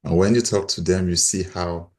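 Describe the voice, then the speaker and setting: A man's voice: low-pitched, steady tone, moderate pace. A middle-aged man with a Cameroonian accent, sharing personal stories as a panel guest. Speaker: panel guest, volunteer mentor.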